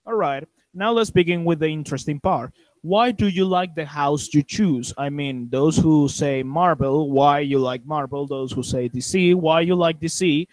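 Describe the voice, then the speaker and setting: A man moderating an online group chat, asking questions in careful, slightly halting English whose first language is Spanish. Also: on the high side, darkish tone, no room sound. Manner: slow, careful non-native phrasing. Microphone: video call.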